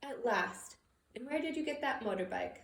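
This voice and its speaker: A woman voicing a wise, calm old male wizard professor, measured, formal and gently whimsical, in a storybook reading. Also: on the high side, gravelly. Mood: relieved. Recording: echoey room with background noise.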